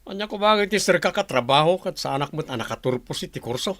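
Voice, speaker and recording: A man playing a cheeky, idle adult grandson in a radio comedy drama, expressive and blunt. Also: fairly high pitch, fast talker, no echo. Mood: wheedling.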